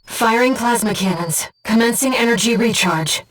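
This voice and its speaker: A woman voicing a gentle, earnest disembodied being in a video game, guiding a pilot with composed, precise, softly formal delivery. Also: on the high side, moderate pace, recorded from a distance, rough recording. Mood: calm, informative. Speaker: mission support operator. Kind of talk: scripted video game voice acting.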